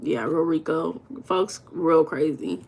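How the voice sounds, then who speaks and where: A woman's voice: sits low, slow pace, darkish tone. Playful slangy American woman hosting a live. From Los Angeles, USA. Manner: playful, slangy, teasing banter with chat. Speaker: livestream host. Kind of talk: casual live chat reading viewer comments.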